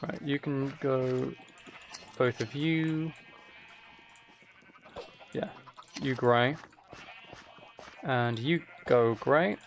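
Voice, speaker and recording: A man's voice: mid-range voice, extremely slow, pauses often, slight background noise. A man live streaming, giving casual gameplay commentary and musing aloud as he chats to viewers.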